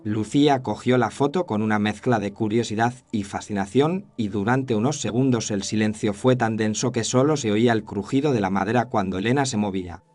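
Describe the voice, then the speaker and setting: A man's voice: fairly low pitch, fairly quick, few pauses, darkish tone. A man reading a scripted first-person horror story as its narrator. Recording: clear noise and ambience behind voice.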